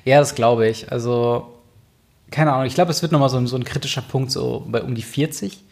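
A man's voice: medium pitch, a little fast, vocal fry. A young man co-hosting a podcast, chatting casually and rambling with lots of fillers. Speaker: podcast co-host. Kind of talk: casual chat.

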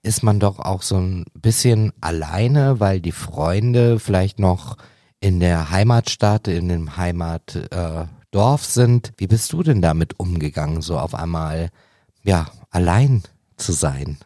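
A man's voice: low voice, takes it slowly, mellow, dry recording, clean studio sound. A man from Hannover co-hosting a career podcast, talking in loose, chatty phrasing. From Hannover, Germany. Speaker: podcast co-host. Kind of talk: podcast chat.

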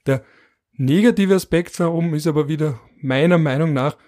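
A man's voice: on the high side, moderate pace, warm tone. A man hosting a podcast, reading out survey figures and musing on them in a wry, conversational way. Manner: reads out statistics, musing and wry. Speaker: podcast host.

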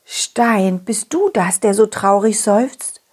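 Woman playing a talking dog, animated, husky edge, unhurried, confident, children's audio story. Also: mid-range voice. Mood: curious, narrating. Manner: animated, expressive, addresses children directly. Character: confident, loyal, friendly talking dog telling his adventure. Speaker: puppeteer storyteller.

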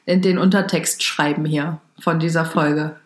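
A woman's voice: low-pitched, fairly even intonation, a little slow. Woman, podcast host, teasing and giggly, roomy echoey sound. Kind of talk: casual chat.

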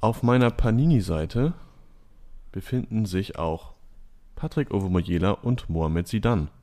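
A young man hosting a podcast quiz, reading out clues in a calm, measured way. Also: fairly low pitch. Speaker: quiz host.

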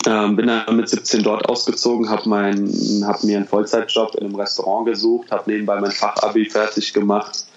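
A man's voice: fairly low pitch, level tone, fairly quick, few pauses, crowd noise. A man in his forties giving a casual interview, speaking hesitantly with many fillers and restarts. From Germany. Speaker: interview guest, restaurant owner. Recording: slightly dull, faint noise.

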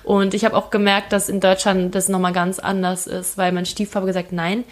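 A woman's voice: mid-range voice, little pitch movement, fairly quick. A woman from Thailand, a guest in a home kitchen, telling stories in a frank, chatty way with fillers. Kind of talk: casual conversation.

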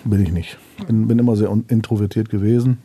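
A man's voice: lowish voice, fairly even intonation, quick pace, mellow, slightly rough, no echo. A man from the Münsterland in Germany talking casually and bluntly about himself as an interview guest. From Münsterland, Westphalia, Germany. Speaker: podcast guest. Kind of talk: casual, reflective interview chat.

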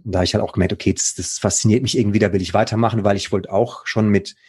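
A man's voice: fairly low pitch, steady tone, rapid-fire, darkish tone, husky. A man, a mental coach talking casually and enthusiastically in an interview. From Baden, Germany. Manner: enthusiastic, rambling, slangy.

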